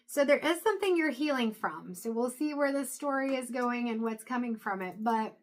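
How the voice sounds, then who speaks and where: A woman's voice: fairly high pitch, lively intonation, normal speed. Chatty woman losing her voice. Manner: chatty, thinks aloud, frequent fillers and restarts. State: losing her voice, throat clearing. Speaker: tarot reader. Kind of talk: casual intuitive tarot reading to camera.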